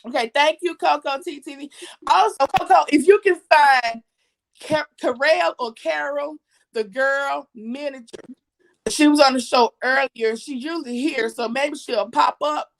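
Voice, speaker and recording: A woman with a slightly raspy voice, hosting a casual livestream and chatting with viewers in a repetitive, friendly way. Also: high voice, measured pace, poor audio. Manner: chatty, repeats herself, reads viewer comments aloud. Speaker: livestream host.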